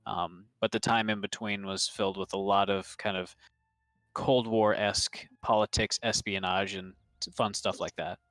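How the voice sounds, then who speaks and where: A young man narrating as game master, rambling with many pauses and restarts. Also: on the low side, fairly even intonation, fairly bright tone, dry recording, faint music behind.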